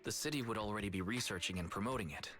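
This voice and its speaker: Man voicing a bright-toned, low young proxy. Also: very fast, natural voice. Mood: calm, serious. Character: calm, sensible young male video-store proxy. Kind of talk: video game voice acting.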